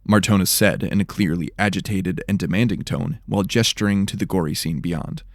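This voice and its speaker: Low, creaky male story narrator. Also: fairly quick, no echo, clean studio sound. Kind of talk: scripted fantasy audio drama narration.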